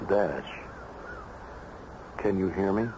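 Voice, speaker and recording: An actor playing a falsely friendly, controlling psychiatrist in an old radio mystery. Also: male voice, low-pitched, slow pace. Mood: hypnotic, probing. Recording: dull, faintly noisy old radio recording.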